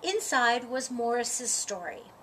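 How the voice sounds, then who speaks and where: Slow, high-voiced woman reading a storybook. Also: lively intonation, bright, forceful tone. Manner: deliberate, dramatic phrasing with drawn-out words. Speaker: storyteller reading a children's picture book. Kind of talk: expressive read-aloud storytime narration. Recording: audible background noise.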